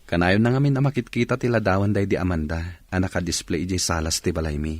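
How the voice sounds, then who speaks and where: An elderly family man with a very deep, husky voice. He talks tenderly and wistfully, as in a radio play, recorded very dry. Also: quick pace, dry recording. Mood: fond, wistful. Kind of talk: radio drama acting.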